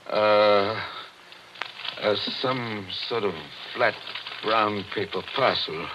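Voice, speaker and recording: An actor playing a skeptical, methodical older man haunted by his past, deliberate and hesitant, in 1940s radio drama style. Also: lowish voice, fairly even intonation, lo-fi sound. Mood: tense, hesitant. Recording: faintly noisy old radio recording.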